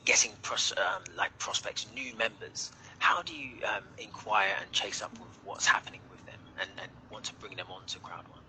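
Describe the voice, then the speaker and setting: Encouraging male host, bright voice. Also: sits low, moderate pace, few pauses, whispered, low-quality recording. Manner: encouraging, short affirmations. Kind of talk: hosting a group chat.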